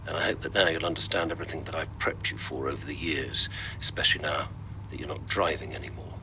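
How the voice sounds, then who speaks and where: An actor playing a commanding older father and business magnate, formal and deliberate, in a video game drama. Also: rumbling low, fairly even intonation, bright, forceful tone, slight background noise, heavily acted, poor audio. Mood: solemn, commanding. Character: commanding dying business patriarch, father recording his will. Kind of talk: video game cutscene acting.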